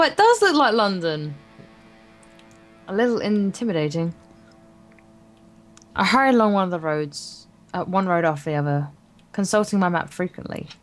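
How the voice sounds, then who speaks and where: A woman co-hosting a let's play, reading visual novel narration aloud, stumbling over words and adding wry, casual asides. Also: medium pitch. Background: acoustic guitar game music.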